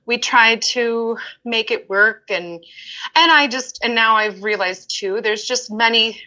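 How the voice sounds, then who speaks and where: A woman's voice: fairly high pitch, unhurried, pushed and bright, muffled recording. A woman guest candidly telling a personal story in conversation, emphatic and acting out what people said to her. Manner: open, emphatic storyteller who acts out quotes.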